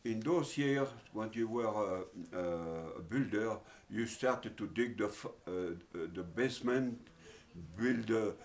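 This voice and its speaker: An older man with a French accent, recalling his past in an interview, speaking haltingly with many pauses and fillers. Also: fairly low pitch. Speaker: oral history interviewee. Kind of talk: reminiscing in an interview.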